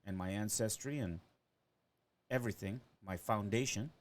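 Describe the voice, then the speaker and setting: A man's voice: fairly low pitch, normal speed. A man in his forties, from Trinidad and Tobago and Venezuela, rambling through a personal monologue as a podcaster and often ending thoughts with "right?".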